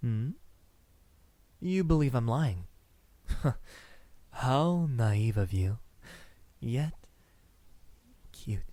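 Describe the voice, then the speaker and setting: A man voicing a possessive, tender, gentlemanly centuries-old vampire in an ASMR roleplay, speaking softly and intimately with sighs and pauses. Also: medium pitch, animated, dry recording. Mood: reflective, grave.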